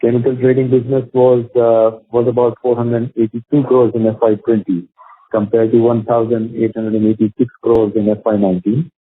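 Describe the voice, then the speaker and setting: Husky Indian man on a phone line. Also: medium pitch, flat delivery. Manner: halting delivery with stumbles over figures. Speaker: company executive presenting financial results. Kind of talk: prepared earnings call presentation. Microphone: telephone conference call. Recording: muffled phone conference line, roomy echo.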